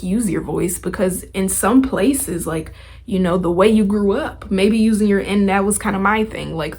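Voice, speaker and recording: A woman's voice: medium pitch, a little mellow, slightly rough. A young adult woman hosting a podcast, talking in a casual, motivational way with chatty, informal, slangy phrasing. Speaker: podcast host.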